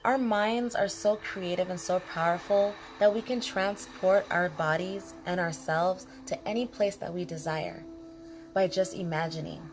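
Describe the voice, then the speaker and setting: A woman leading a guided body scan meditation in a calm, soothing manner, speaking in short, unhurried phrases with pauses. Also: fairly low pitch, slight background noise. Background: music behind the voice.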